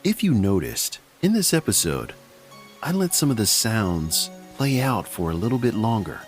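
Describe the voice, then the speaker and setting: A middle-aged man hosts a podcast in a wry, chatty, self-mocking way. His voice sits low but moves a lot, rising and falling as he jokes. Soft music plays faintly behind him. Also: sing-song, normal speed. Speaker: podcast host. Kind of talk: casual scripted podcast narration.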